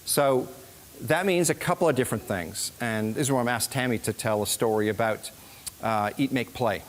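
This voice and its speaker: A man's voice: mid-range voice, moderate pace, slight background noise. A man speaking informally to a church gathering as the leader of a small group, with frequent fillers and restarts. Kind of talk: informal talk to a congregation.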